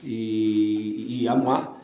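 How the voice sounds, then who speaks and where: A football coach with a Portuguese accent answers questions in careful, halting French, pausing on fillers and restarting phrases. His voice sits low and has a warm, mellow tone. The room around him is echoey. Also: little pitch movement. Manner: measured, halting non-native French with many fillers and restarts. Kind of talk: post-match press conference.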